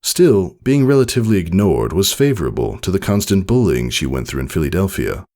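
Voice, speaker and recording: A man narrates fiction in a low, gravelly voice. His storytelling is expressive and scripted, with intonation that moves around a great deal. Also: fairly quick. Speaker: narrator reading fan fiction. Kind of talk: scripted audiobook-style narration.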